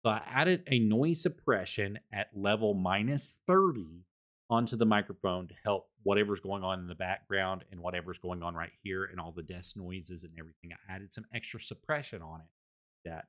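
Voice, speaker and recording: A man streaming a casual microphone review from a home setup, talking loudly and rambling with repeated words. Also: deep voice, normal speed. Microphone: desktop condenser microphone.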